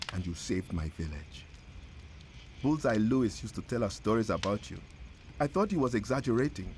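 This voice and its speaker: An actor playing a grateful, haunted village hunting guide in a video game, speaking in a grave, deliberate storytelling manner. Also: male voice, deep voice, noisy background, natural voice. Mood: grateful, solemn. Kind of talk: dramatic game cutscene dialogue.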